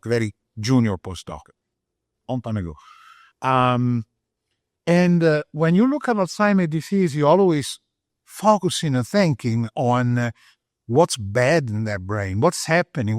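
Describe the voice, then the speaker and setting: A middle-aged man from Italy with an Italian accent, speaking as a researcher on a panel, earnest and pausing often to find his words. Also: medium pitch, dry recording, studio quality. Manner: earnest, searching for words with many uh fillers. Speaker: panelist, brain health researcher. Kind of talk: panel discussion remarks.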